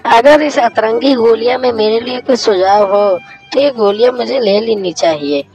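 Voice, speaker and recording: A woman's voice: on the high side, moderate pace, darkish tone, lightly acted. A woman playing a long-suffering, concerned village wife in a cartoon moral story. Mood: curious. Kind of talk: Hindi cartoon storybook acting. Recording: dull lo-fi sound with music.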